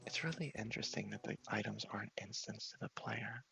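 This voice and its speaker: A man giving soft, whispery ASMR commentary over a game, casually thinking aloud in a low-key way. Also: fairly even intonation, brisk, soft background music. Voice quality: soft, breathy, often whispery. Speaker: gaming video host.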